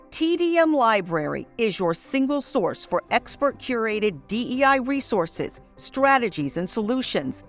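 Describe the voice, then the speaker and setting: Lively woman reading an ad. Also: mid-range voice. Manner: upbeat, persuasive. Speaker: ad announcer. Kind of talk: scripted ad read.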